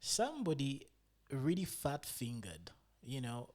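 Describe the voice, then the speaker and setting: A man from Zambia, talking through his trades in a loose, filler-heavy commentary that breaks into excited exclamations. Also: mid-range voice, a little slow. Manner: loose and chatty, full of fillers and restarts; bursts into loud excited exclamations. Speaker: meme coin trader showing his trades. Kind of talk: live screen-share trading commentary.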